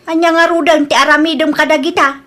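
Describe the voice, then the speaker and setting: A very high, bright-voiced mother with an Ilocano accent, played by an actress, fusses over her grown child. She is cautious, in the manner of audio drama. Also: level tone, fast. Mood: worried.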